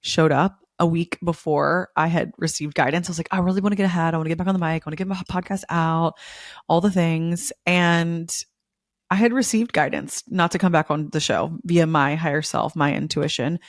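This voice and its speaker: Slightly hoarse woman, effusive podcast host. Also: deep voice, a little fast, dry recording, clean studio sound. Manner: effusive, repeats words for emphasis. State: slightly hoarse, losing her voice. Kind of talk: casual spiritual podcast monologue.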